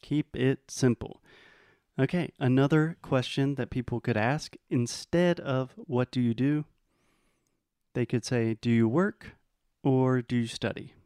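An American man teaching English in a bilingual lesson, explaining patiently and modeling phrases slowly. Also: mid-range voice, darkish tone, clean tone, dry recording, studio quality. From United States.

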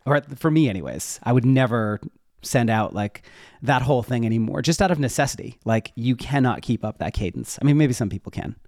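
A man gives an informal, explanatory answer as a guest in an interview. His pitch sits in the middle for a man. The sound is dry, with very little room around the voice. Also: a little fast, a little mellow, no echo. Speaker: interview guest, web developer and educator. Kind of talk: casual explanatory interview talk.

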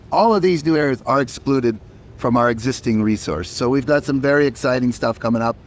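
A man's voice: medium pitch, normal speed, a little hiss or hum. A company chief executive, a man who is losing his voice, answering interview questions emphatically and often repeating words as he starts.

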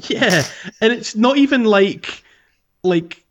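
A man co-hosting a casual video game podcast, talking in an animated way and repeating phrases for emphasis. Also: high voice, takes it slowly. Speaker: podcast co-host.

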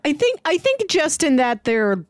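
A woman's voice: high-pitched, sing-song, a little slow, dry recording. Woman chatting, close dry sound. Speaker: podcast co-host reviewing a film. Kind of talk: casual discussion.

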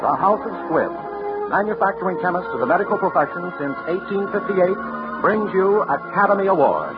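A man's voice: sits high, very animated, brisk, over loud music. An American man announcing formally and grandly in 1940s radio style. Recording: dull vintage 1940s radio broadcast.

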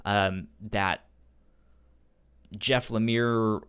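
A man with a stutter, explaining things in a halting way in a casual book discussion as a podcast co-host. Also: lowish voice, fairly even intonation, fairly bright tone, clean tone. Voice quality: stutter, repeated sounds and syllables.

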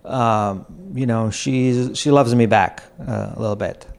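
A middle-aged man from Croatia, a podcast guest speaking slowly and reflectively in an apartment, with halting phrasing, frequent pauses and soft laughs. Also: medium pitch, fairly even intonation, creaky. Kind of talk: reflective conversation. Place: apartment in a city.

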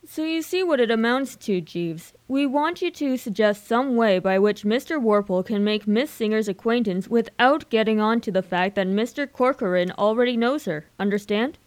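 A woman playing a breezy, generous young upper-class gentleman in a radio comedy, using old-fashioned society slang. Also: fairly high pitch, lively intonation, moderate pace, few pauses, natural voice. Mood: confident.

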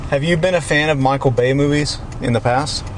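Guy doing a casual podcast chat, blunt and sweary, middling pitch, noisy car recording. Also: a little fast. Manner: blunt, foul-mouthed, enthusiastic fan talk. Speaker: podcast host. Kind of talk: casual movie chat with a friend. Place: in a car on the road.

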